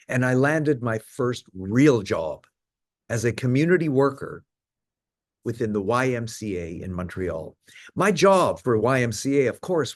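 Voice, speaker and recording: A man in his seventies with a Canadian accent, giving a measured prepared talk as guest speaker. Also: fairly low pitch, no room sound, studio quality. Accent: Canadian English.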